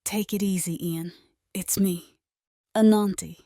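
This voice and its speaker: A woman playing a calm, reassuring doctor in an audio comic, with a soothing, measured manner. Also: mid-range voice, no echo, clean studio sound, close to an everyday voice. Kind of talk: audio comic dramatic acting.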